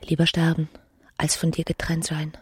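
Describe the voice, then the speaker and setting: Low-voiced, passionate young wife. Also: female voice, completely flat delivery, normal speed, darkish tone, husky, no echo, studio quality. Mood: ardent, tender. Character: passionate, scheming young married woman in period novel. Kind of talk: literary radio drama acting.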